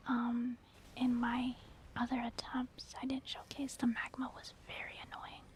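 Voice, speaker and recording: A woman whispering soft-spoken ASMR commentary over a video game as the host. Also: on the high side.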